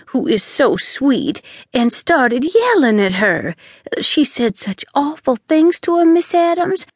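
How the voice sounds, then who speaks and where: A woman's voice: sits high, dry recording, studio quality, voice pushed up. A woman voicing a flustered, talkative maid in an audiobook, anxious and loyal, with breathless, emphatic phrasing.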